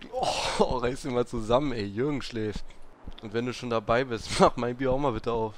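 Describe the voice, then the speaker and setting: A man's voice: medium pitch, normal speed, a little hiss or hum, close to an everyday voice. A man playing an uneasy camp worker on a late shift in an amateur horror audio drama, in a naturalistic muttering way. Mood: casual, chiding. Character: uneasy German male camp worker named Hans, working late.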